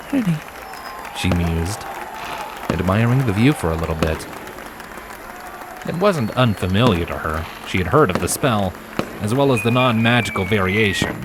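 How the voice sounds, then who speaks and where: A man reading fiction aloud as an expressive narrator of a scripted story. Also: lowish voice, moderate pace, pauses often, crowd noise, noisy background.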